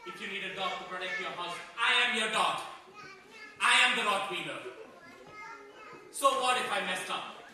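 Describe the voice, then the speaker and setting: In a very echoey room, a man acts out a proud Rottweiler pleads his case in a high, piercingly bright voice. He is theatrical and indignant, forever justifying himself as if on stage. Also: normal speed, long pauses. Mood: indignant, boastful. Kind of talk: stage monologue. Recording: roomy, distant mic, faint noise.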